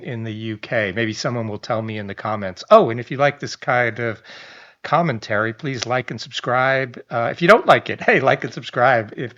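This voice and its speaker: A man's voice: mid-range voice, a bit bright. A man, reading a news article aloud and adding casual commentary, with chatty asides, restarts and small chuckles. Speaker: online commentator. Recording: slightly dull lo-fi audio.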